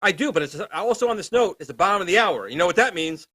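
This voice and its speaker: A man co-hosting a retro gaming livestream, chatting in an informal, animated way. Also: high-pitched, fast. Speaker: co-host of a retro gaming show. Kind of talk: casual livestream chat.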